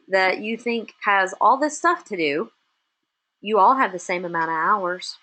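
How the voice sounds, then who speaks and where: A woman's voice: mid-range voice, low-quality recording. A middle-aged woman with a Texas accent giving a motivational business webinar, speaking in short emphatic statements. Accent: Texan. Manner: emphatic, punchy short statements with lively rises. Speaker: webinar presenter and business coach for chefs.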